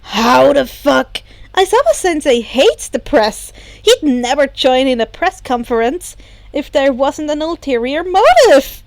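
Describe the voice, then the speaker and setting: Earnest teen boy hero, played by a woman, high and emphatic, storybook reading, dry close sound. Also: very animated, a little slow, dry recording, full character voice. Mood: urgent, persuasive. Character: energetic teenage boy, earnest, persuasive, mocking.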